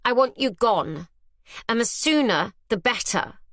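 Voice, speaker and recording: A woman's voice: on the high side, measured pace, a bit bright, no room sound, clean studio sound, pitched above the performer's own voice. A woman reading an audiobook, voicing a haughty, arrogant young woman who talks down with contempt. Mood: imperious. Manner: imperious, contemptuous. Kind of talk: audiobook character voice.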